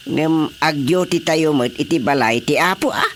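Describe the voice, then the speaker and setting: A man's voice: fairly high pitch, normal speed, a bit bright, slight background noise, close to an everyday voice. A man playing a chatty, practical village official in a radio drama comedy, talking emphatically. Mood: emphatic.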